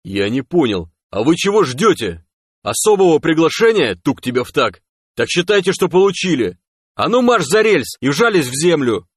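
A man voicing a gruff, bossy, hot-tempered male stalker in an audiobook story, barking and cursing in folksy phrases. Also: mid-range voice, expressive, moderate pace, no room sound, studio quality, close to an everyday voice. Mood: barking orders. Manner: barking, colourful mock curses.

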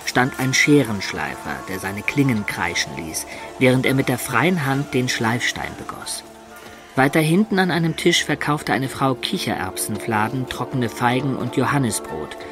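A medieval adventurer played by a man tells his own story in a radio drama. The narration is literary and descriptive, in a mid-pitched voice with clear music underneath. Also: animated, unhurried, lightly acted. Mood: storytelling. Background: music behind the voice.

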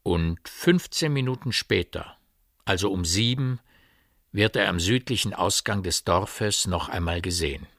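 A man narrating a literary radio play in a grave, measured storytelling manner. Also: low voice, gravelly, a bit bright, no echo, clean studio sound, heavily acted. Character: grave omniscient male narrator of a village mystery.

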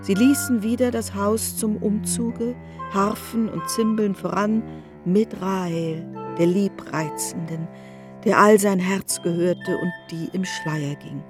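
A woman narrating a literary radio play in an even, solemn storytelling manner. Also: medium pitch, slow, over music.

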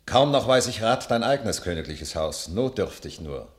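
An anxious court treasurer, played by a man in a classic radio play. His very deep voice carries grave, apologetic reluctance. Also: lively intonation, moderate pace, natural voice. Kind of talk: classic German radio drama.

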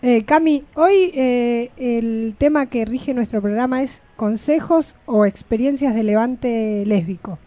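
A woman's voice: on the high side, slow, hardly any pauses, dark tone. An Argentine woman hosting a radio show, interviewing in a casual, conversational way.

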